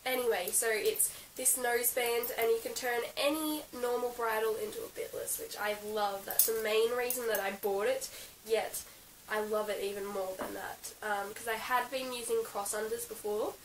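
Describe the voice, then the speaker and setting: A young Australian woman, croaky from being sick, chatting casually in a vlog about her favourite horse gear, giggling often and filling her talk with ums and likes. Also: fairly high pitch, fairly even intonation, moderate pace, hardly any pauses. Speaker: equestrian vlogger. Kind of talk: casual show-and-tell vlog. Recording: roomy, slightly distant with hiss.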